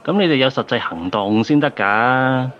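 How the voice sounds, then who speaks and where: A man's voice: mid-range voice, moderate pace, natural voice. Cheeky Hong Kong Cantonese man actor, slightly muffled. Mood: cheeky, teasing. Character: cheeky, flippant man playing wise uncle. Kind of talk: radio drama acting, bar banter.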